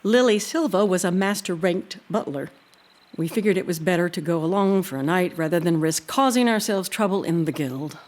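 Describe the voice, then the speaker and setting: An actress playing an earnest, proud housekeeper who tells a haunting tale in a deliberate, expressive way. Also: female voice, fairly low pitch, close to an everyday voice. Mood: wry, disapproving. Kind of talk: dramatic audio-drama storytelling.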